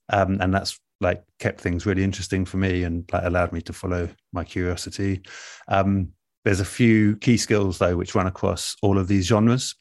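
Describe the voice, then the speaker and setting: A man speaking as an interview guest in a relaxed conversation, thoughtful and articulate, pausing as he measures out his answers. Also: sits low, no echo, clean studio sound. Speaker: guest, radio and podcast producer.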